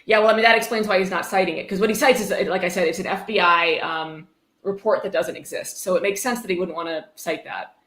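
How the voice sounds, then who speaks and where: A woman's voice: on the low side, steady tone, quick pace, fairly bright tone. A woman hosting a casual livestream, reading aloud and reacting in a blunt, exasperated, sweary way. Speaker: livestream host. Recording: roomy, echoey sound.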